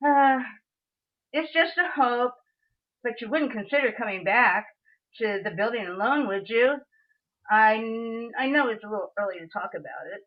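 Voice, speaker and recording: A woman playing a gentle, earnest older father in an amateur radio play, speaking sincerely and a little haltingly. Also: on the high side, expressive, lightly acted. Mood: hopeful, tentative. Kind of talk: community theatre radio play acting. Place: remote video call. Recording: dull lo-fi video call audio.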